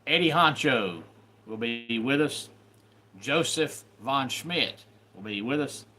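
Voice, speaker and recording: A man's voice: mid-range voice, expressive, takes it slowly, sharp tone. Southern man hosting a live stream. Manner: greets viewers by name, promotional announcer delivery. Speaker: YouTube live host and wrestling referee. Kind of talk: casual livestream chat.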